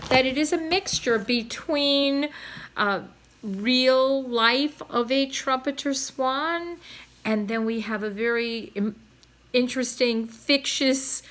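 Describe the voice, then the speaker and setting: A woman's voice: fairly high pitch, fairly bright tone. A woman reading a storybook aloud to children as their teacher, addressing them directly.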